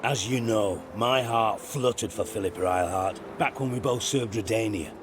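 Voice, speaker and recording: A man's voice: on the low side, moderate pace, noisy background, close to an everyday voice. A man playing a cynical, crude-tongued older spymaster in a video game cutscene, blunt and theatrical in delivery. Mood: wry storytelling.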